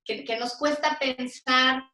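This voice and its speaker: A woman moderates a discussion informally, restarting her phrases as she goes. Her voice is bright and a bit high, with clear room echo around it. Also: fairly even intonation, slow. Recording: roomy, echoey audio.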